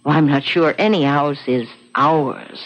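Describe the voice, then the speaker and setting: An actress playing a kindly, uneasy older housewife in a radio drama, speaking gently and hesitantly, often trailing off. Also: female voice, sits low, unhurried. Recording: dull, noisy old radio recording.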